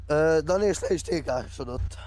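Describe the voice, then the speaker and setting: A man's voice: fairly high pitch, animated, a little mellow. Man in noisy gaming chat. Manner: crude, swearing. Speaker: gamer, fellow player. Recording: noisy game audio with car sounds. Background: car engines, game sounds.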